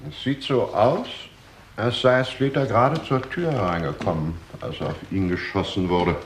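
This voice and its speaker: A man's voice: on the low side, natural voice. Man playing an echoey, matter-of-fact police doctor. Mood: clinical. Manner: clinical, deliberate. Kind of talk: 1960s German radio crime drama acting. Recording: echoey, noisy vintage radio recording.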